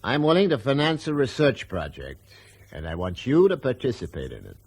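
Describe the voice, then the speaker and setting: An actor playing a pragmatic, persuasive aviation company boss in a radio drama, condescending and forceful, with a husky voice. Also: male voice, mid-range voice, wildly swooping pitch, fairly quick, exaggerated voice. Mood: assured, goading.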